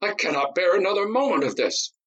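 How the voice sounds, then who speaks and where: A man's voice: medium pitch, expressive, moderate pace, fairly bright tone, husky, muffled recording, voice pushed up. A man voicing a shaky, desperate army captain who bursts out in bitter, agitated cries in a storybook reading. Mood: frantic. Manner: agitated, bitter, cracking outbursts.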